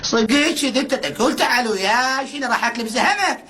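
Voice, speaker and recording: A woman's voice: a little high, expressive, fast, fairly bright tone, breathy edge. A woman voicing an indignant, boastful comic cartoon character with an Iraqi accent.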